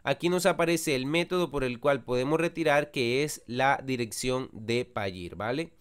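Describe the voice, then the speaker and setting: A young man narrates a screen-recorded walkthrough as the channel host, guiding the viewer click by click. His voice is smooth and clear at a middle pitch. He keeps it chatty and often checks in with the viewer. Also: normal speed.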